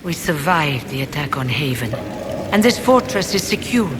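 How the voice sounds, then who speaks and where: An earnest, polite mage from a video game. She speaks formally and evenly, with long reverb and clear noise around her. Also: low voice, very animated, moderate pace.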